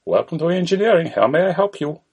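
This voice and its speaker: A man's voice: on the high side, very animated, quick pace, darkish tone, breathy edge, pitched above the performer's own voice, full character voice. A man voicing a polite, unflappable Indian call-centre agent with a put-on Indian accent and scripted questions. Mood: polite, unhelpful.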